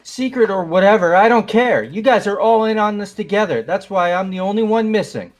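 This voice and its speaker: A man's voice: high voice, a little fast, soft background music, lightly acted. A man voicing a suspicious young male classmate in an amateur game dub, wary and accusing.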